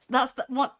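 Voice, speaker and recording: A young woman hosting a casual software demo livestream, talking from home with a voice made husky by a cold, chatty with many ums. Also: high-pitched. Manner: chatty, many ums, reads chat aloud. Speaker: livestream host.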